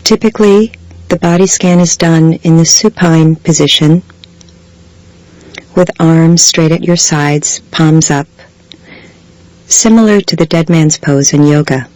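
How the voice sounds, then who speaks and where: A woman guiding a body scan meditation in a slow, measured way, leaving long pauses between phrases. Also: low-pitched, darkish tone, no room sound, poor audio. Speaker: meditation guide. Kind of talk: guided body scan meditation, scripted soothing instruction.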